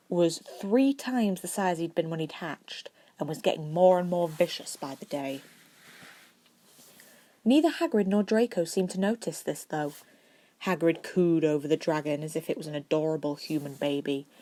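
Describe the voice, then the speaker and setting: Amateur audiobook narrator: woman, low register, scripted story reading with small self-corrections. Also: dark tone. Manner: reading aloud with stumbles and corrections.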